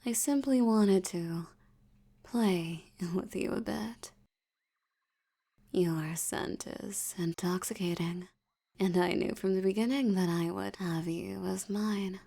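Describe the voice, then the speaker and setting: A woman playing a possessive, seductive vampire in an intimate audio roleplay, teasing and commanding, with a breathy voice that often drops toward a whisper. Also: mid-range voice, warm tone. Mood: smug, possessive. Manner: slow, teasing, commanding, condescending. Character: dominant, possessive, seductive wealthy female vampire.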